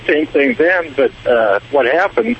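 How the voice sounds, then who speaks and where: A man's voice: medium pitch, lively intonation, slight background noise. Male caller, hesitant, muffled phone sound. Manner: halting, hesitant telling.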